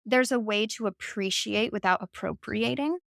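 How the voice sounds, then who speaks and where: A woman's voice: mid-range voice, normal speed, gravelly, a bit bright, no echo. Woman, podcast guest, reflective, conversational, dry recording. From United States. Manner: reflective, frequent "like" and "right?". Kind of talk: conversational interview.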